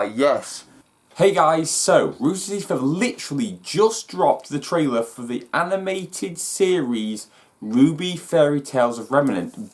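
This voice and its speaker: A man hosting a fan reaction video, talking casually with enthusiastic, emphatic delivery. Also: medium pitch. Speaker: host of a fan reaction channel. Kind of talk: excited trailer reaction and casual breakdown.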